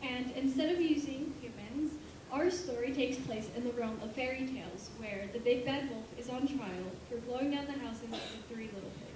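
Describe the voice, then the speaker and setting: A woman's voice: fairly high pitch, normal speed, background noise. A woman giving a prepared introduction to a stage show. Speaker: stage presenter. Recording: very echoey, distant hall.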